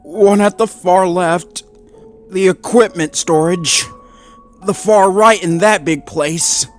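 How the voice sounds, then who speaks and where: A man's voice: sits high, slow pace, soft background music, voice pushed up. A man voicing an anxious, stammering male Great Dane in a storybook reading. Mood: nervous. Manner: nervous, stammering, flustered. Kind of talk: storybook character voice.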